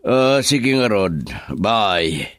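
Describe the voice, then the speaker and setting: Slow, lively-pitched bossy employer. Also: male voice, dry recording, clean studio sound, heavily acted. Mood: businesslike. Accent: Ilocano. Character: bossy male employer, controlling and stern. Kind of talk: Ilocano radio drama acting.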